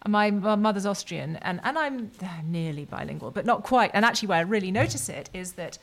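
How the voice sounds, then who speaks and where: A woman's voice: medium pitch, expressive, a little fast. A woman in her forties hosting a podcast interview, chatting and telling anecdotes with plenty of fillers. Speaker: podcast host.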